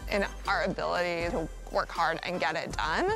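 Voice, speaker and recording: A woman's voice: lowish voice, steady tone, normal speed, over music, low-quality recording. A woman in her thirties speaking as a motel owner in a reality show interview.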